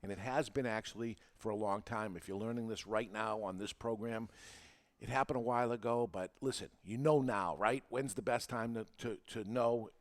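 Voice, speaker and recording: A man's voice: fairly low pitch, normal speed. Emphatic man hosting an instructional podcast. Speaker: podcast host and marketing coach. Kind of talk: animated instructional monologue to listeners.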